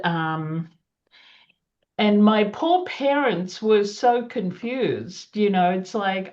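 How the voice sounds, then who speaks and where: Slow older woman. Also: medium pitch. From Australia. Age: 70s. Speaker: panelist. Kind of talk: personal storytelling on a panel. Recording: dull lo-fi recording.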